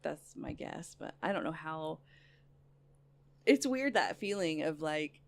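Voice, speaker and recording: A woman's voice: low-pitched, takes it slowly. A woman co-hosting a podcast, telling stories in a chatty way with lots of fillers. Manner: lively storyteller, many fillers like "like", laughs often. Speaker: podcast co-host. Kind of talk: casual chat.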